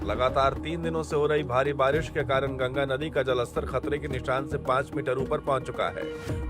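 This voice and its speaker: A man's voice: medium pitch, close to an everyday voice. A man voicing a grave, sensational TV news anchor reading breaking news in a cartoon story.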